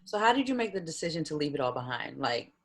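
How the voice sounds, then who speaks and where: A woman's voice: low-pitched, very animated, fast talker. A woman playing a blunt, guarded professional in a screenplay table read, talking casually and frankly. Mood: curious.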